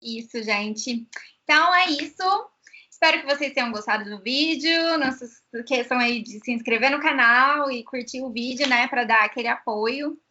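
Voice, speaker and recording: A woman's voice: high voice, moderate pace, pushed and bright, muffled recording. A woman with a Brazilian accent chatting casually as a host. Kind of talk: casual chat.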